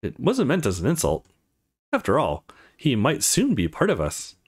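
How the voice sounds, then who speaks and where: A pretentious young society woman put on by a man, haughty in manner. She is a visual novel character, read at a middling pitch. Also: wildly swooping pitch, moderate pace, slightly rough, exaggerated voice.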